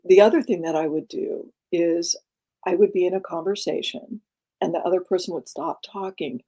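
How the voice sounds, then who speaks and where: A middle-aged woman executive coach with a soft, breathy, laid-back voice, speaking calmly in an interview. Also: fairly low pitch, low-quality recording. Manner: calm, unhurried, laid-back. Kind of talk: conversational interview.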